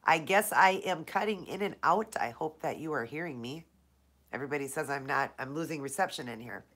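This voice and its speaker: Chatty low-voiced woman with a stuffed nose. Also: a little fast, a bit bright, breathy edge. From Minnesota, USA. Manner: chatty, greets viewers by name. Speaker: live-stream host. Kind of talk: casual live Q&A chat.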